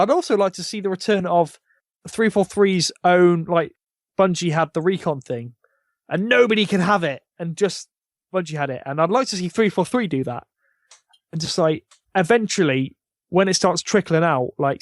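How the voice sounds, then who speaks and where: A man's voice: on the high side, animated, normal speed, no echo, studio quality. A man in casual gaming podcast chat, speaking bluntly and with plenty of opinion. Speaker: podcast panelist.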